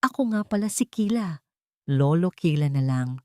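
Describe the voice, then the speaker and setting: A woman voicing a kind, gentle old grandfather in a narrated love story. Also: low-pitched, expressive, normal speed, no room sound, clean studio sound. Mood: warm.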